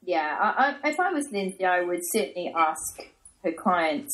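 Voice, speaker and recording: A croaky Australian woman who is losing her voice, talking as a coach guest. She speaks directly and emphatically in a lowish voice. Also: very animated, moderate pace, fairly bright tone, a little hiss or hum. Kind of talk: casual podcast chat.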